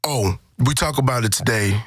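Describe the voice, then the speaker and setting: A man's voice: medium pitch, moderate pace, fairly bright tone, husky, no echo, studio quality. A man hosting a casual panel discussion, speaking emphatically and repeating phrases for stress. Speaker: podcast host.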